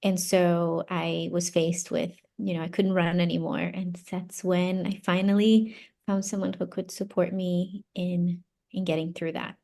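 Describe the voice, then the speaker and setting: A woman from Brazil, a native Portuguese speaker, giving casual interview answers and haltingly thinking aloud. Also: lowish voice, level tone, vocal fry, mellow. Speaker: interview guest, psychology doctoral graduate.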